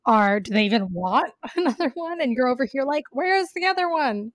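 Emphatic young woman with a loud voice. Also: high-pitched, expressive, a little fast, no room sound, clean studio sound. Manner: says she has a loud voice, emphatic. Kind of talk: casual chat.

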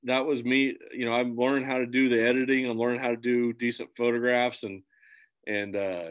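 A man's voice: medium pitch, flat delivery, brisk. A man from central Illinois, a musician guest talking in an earnest, rambling way with many restarts. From central Illinois, USA. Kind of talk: casual interview chat.

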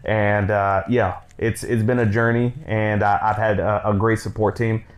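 A man's voice: fairly low pitch, level tone, normal speed. A man in his thirties co-hosting a podcast, chatting casually with his wife in a rambling, laid-back way with many fillers. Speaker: podcast co-host.

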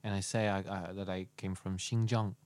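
A man hosting a podcast in a jokey, loose, halting way, chatting with a guest. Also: sits low, level tone, darkish tone, smooth, dry recording. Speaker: podcast host. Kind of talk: casual interview chat.

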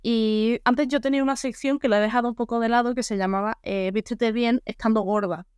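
A young woman with a southern Spanish accent speaks frankly in a casual podcast interview, her voice pitched a little high. The tone is clean and smooth, recorded close and dry with no room sound. She rambles with plenty of fillers. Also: fairly even intonation, fairly quick, a little mellow, no echo. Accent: southern Spanish, Ceuta. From Ceuta. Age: young adult. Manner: frank, talkative, lots of fillers. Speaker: podcast guest.

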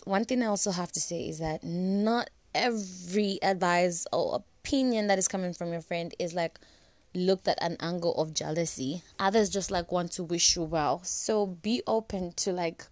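A woman's voice: fairly low pitch, moderate pace, no room sound. A young woman with a slightly cracked voice from a flu and dry cough, chatting casually and giving advice with lots of "like"s. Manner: chatty, filler-heavy, with many "like"s. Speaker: vlogger. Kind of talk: casual advice talk to camera.

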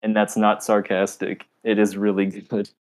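Low-key young man with a flat delivery. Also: normal speed. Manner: low-key and flat, self-described monotone. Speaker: podcast co-host. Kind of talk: casual chat.

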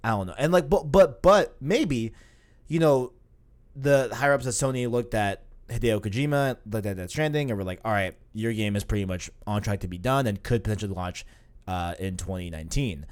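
Animated young man rambling on a podcast. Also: fairly low pitch, brisk. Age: 20s. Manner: animated, rambling, lots of filler phrases. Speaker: podcast co-host. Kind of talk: casual gaming podcast chat.

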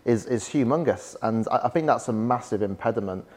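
A young man from West Yorkshire with a softened Yorkshire accent, chatting as a podcast guest and telling stories with plenty of "like" fillers. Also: medium pitch, brisk. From West Yorkshire, England. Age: young adult. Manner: chatty, storytelling, frequent "like" fillers. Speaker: podcast guest, startup founder. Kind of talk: casual interview chat.

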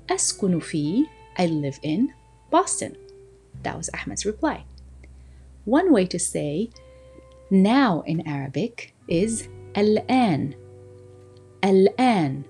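A woman teaching a language lesson, explaining and slowly repeating phrases for learners to copy. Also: mid-range voice, a little mellow, slightly rough. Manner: slow, deliberate repetition of phrases for learners; switches between explaining and modelling phrases.

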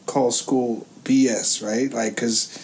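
Haiti-born guy in his 30s, goofy and jokey, rambly podcast talk, normal pitch. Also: little pitch movement, slow pace, a little mellow, slight background noise. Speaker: podcast co-host. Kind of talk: casual chat.

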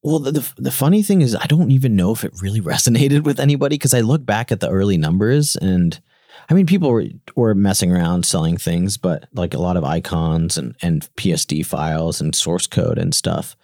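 A man's voice: low-pitched, dry recording, studio quality. A man in his thirties, a founder being interviewed, talking casually with many restarts and fillers, whose first language is Gujarati. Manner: halting, many restarts and fillers, animated quoting. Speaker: startup founder, guest.

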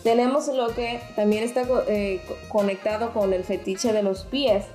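A woman's voice: medium pitch, fairly even intonation, moderate pace, warm tone, reverberant, soft background music. A woman co-hosting a podcast who explains topics frankly in casual chat, with many restarts. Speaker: podcast co-host.

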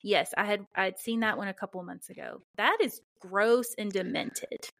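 A woman's voice: medium pitch, moderate pace, vocal fry, fairly bright tone, no room sound. A woman chatting casually as a podcast co-host, giggly and conversational. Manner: giggly, often laughing as she talks. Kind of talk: casual banter between co-hosts.

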